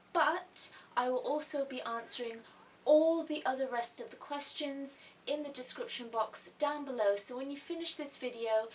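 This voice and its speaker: A young woman who grew up in Thailand, hosting a casual art-channel question and answer vlog in a chatty way, with asides and restarts. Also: a little high. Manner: chatty, repeats herself, little asides. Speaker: YouTube art channel host. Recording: faint hiss, mic a little distant.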